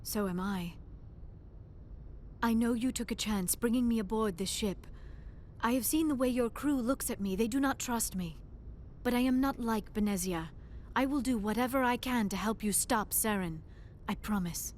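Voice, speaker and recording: A woman's voice: fairly high pitch, normal speed, a little mellow, a little hiss or hum, natural voice. An actress voicing a young alien woman scientist in a video game, shy and earnest, with formal, precise diction. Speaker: archaeologist crew member.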